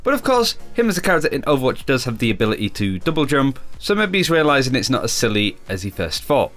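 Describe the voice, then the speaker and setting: A man's voice: mid-range voice, sing-song, fast talker, a bit bright, faint music behind. A man hosting a gaming video with chatty, tongue-in-cheek commentary. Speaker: YouTube gaming host. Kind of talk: scripted-casual game commentary narration.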